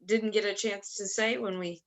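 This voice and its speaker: Relaxed woman moderating an online session. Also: mid-range voice, moderate pace. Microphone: computer mic on a video call.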